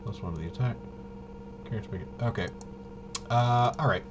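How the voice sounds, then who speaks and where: A man's voice: mid-range voice, fairly quick, lo-fi sound, noisy background. A man casually thinking aloud as he plays a tabletop roleplaying game, hesitant and muttering to himself while he checks rules. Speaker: solo tabletop RPG player demonstrating gameplay.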